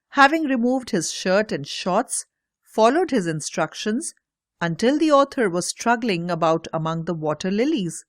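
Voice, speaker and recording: A woman narrating a scripted children's story in a measured, carefully enunciated read-aloud manner. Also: mid-range voice, dry recording, clean studio sound.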